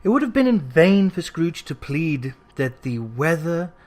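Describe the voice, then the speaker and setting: A man with a slightly high voice reads classic fiction as a narrator. His delivery is measured and expressive, in a literary storytelling style. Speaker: audiobook narrator.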